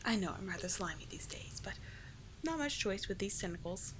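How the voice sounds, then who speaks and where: A regal, doting sea witch, played by a woman, speaks in a soft, breathy, medium-pitched voice that often sinks toward a whisper. She coaxes and teases in formal storybook phrases, as a soothing mentor in an ASMR roleplay. The recording is close, with a faint low rumble beneath. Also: lively intonation, a little fast, natural voice. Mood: patient, coaxing.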